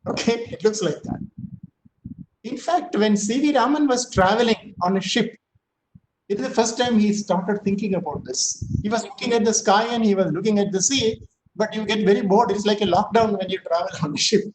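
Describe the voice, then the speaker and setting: High-voiced man giving a joking lecture. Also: roomy sound, noisy background. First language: not Tamil. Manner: chatty, joking asides with filler pauses. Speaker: physics professor. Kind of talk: online lecture.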